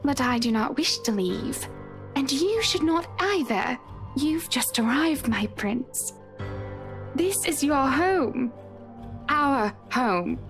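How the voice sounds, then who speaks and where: A woman's voice: high voice, animated, slow, faint music behind, pitched above the performer's own voice, heavily acted. A woman voicing an eerie, amused wife, a menacing spirit in disguise, with formal, coy phrasing in a visual novel. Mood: eerily insistent.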